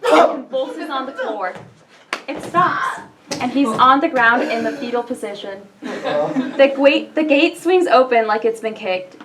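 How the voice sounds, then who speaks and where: A woman's voice: sits high, animated, a little slow, a crowd in the background. A woman reading scripted stage directions as narrator at a staged play reading. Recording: clear background noise.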